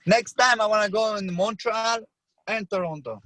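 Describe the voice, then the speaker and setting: A young man with an Albanian accent, whose first language is Albanian, telling a story as a podcast guest, animated and full of restarts. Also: sits high, normal speed, low-quality recording. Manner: animated, acts out his own past lines with frequent restarts. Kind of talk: casual storytelling.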